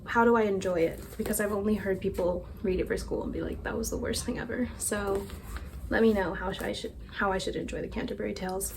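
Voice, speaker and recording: A woman's voice: medium pitch, fairly even intonation, quick pace, vocal fry, darkish tone. Young female book vlogger, casual and rambling, self-deprecating, faint room noise. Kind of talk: casual chat.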